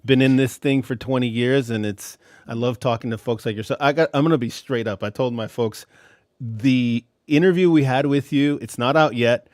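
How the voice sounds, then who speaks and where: A man's voice: mid-range voice, normal speed, a little mellow, no echo. A man chatting as a guest, enthusiastic and friendly with slangy, casual talk from a home studio. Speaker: guest podcaster. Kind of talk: casual chat. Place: home studio, blue-lit room.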